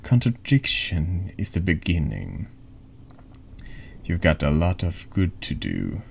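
A man reads the part of a mysterious stranger behind a confessional screen in a horror text game. The voice is extremely low and gravelly, with terse, solemn, forgiving words. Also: huge pitch swings, takes it slowly, slight background noise, full character voice. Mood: calm, solemn.

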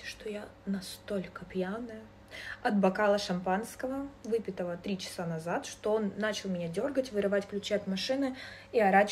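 A woman's voice: mid-range voice, darkish tone. A woman in her twenties giving an aggrieved, matter-of-fact personal account into a phone indoors. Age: 20s. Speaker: social media personality. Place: indoor room. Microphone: phone at arm's length. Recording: phone recording with faint background noise.

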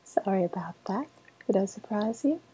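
A woman playing a sly, cooing woodland witch with a faint menace, in a breathy, softly spoken ASMR roleplay whisper. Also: mid-range voice, lively intonation, slight background noise, natural voice. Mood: playfully sly. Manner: slow, savouring, coaxing delivery.

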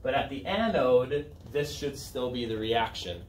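A man's voice: medium pitch, expressive, unhurried. A man in his thirties teaching a class in an informal, conversational way, checking in with students as he goes. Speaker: high school chemistry teacher. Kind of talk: classroom lesson, asking students questions. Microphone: camera set back in the room. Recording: roomy, distant camera mic, room noise.

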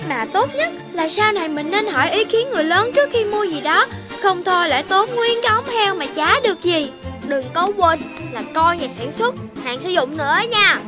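A woman with a southern Vietnamese accent voicing a bright, cute child puppy in a children's cartoon, expressive and childlike. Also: very high voice, moderate pace. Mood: wary, advising. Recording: prominent music behind the voice.

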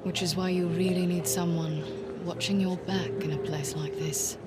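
A woman's voice: fairly low pitch, little pitch movement, moderate pace, close to an everyday voice. An actress playing a cool, confident female boss of a private army in a video game, with a dry, teasing manner. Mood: cool, firm. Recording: game scene with clear music.

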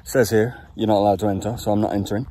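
A man's voice: lowish voice, steady tone, rapid-fire, dark tone. A man in his thirties talking casually to his viewers as a vlogger, in an informal, chatty, repetitive way. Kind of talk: casual vlog commentary to viewers. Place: outdoors in open countryside and inside a car. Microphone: phone camera. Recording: noisy outdoor and in-car phone recording.

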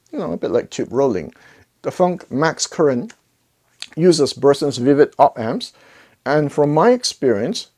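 Middle aged guy chatting to camera in a gear review, mid pitched voice, kinda jokey, asks himself questions. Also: darkish tone. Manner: conversational, jokey asides and rhetorical questions. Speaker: audio gear reviewer, video host. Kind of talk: casual product review to camera. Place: indoor home listening room. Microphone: clip-on lapel mic.

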